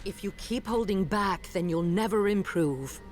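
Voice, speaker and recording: An actress playing a hardened, vengeful demon hunter in a fantasy game, stern and deliberate. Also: female voice, medium pitch, music in the background, natural voice. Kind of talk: dramatic fantasy game acting.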